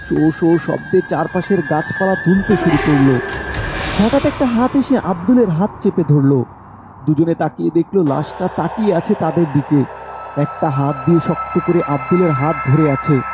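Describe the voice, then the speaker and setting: A man's voice: fairly high pitch, moderate pace, over music. A man narrating a scripted horror story as the storyteller.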